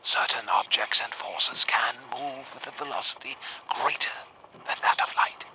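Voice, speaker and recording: An actor playing a feeble, sickly old farmer in a radio drama, whispering breathily and making grand, intense pronouncements. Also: male voice, mid-range voice, measured pace, heavy background noise, close to an everyday voice. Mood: fervent, eerie.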